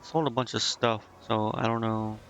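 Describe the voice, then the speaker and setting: A man's voice: lowish voice, steady tone, moderate pace, fairly bright tone. A man giving casual live gameplay commentary, thinking aloud to himself in a hesitant, muttering way. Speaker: game streamer.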